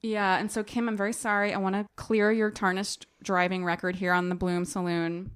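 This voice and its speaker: A woman co-hosting a podcast in casual banter, talking in an animated, giggly, expressive way. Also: medium pitch, normal speed, clear, resonant tone. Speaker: podcast co-host. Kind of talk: casual banter between co-hosts.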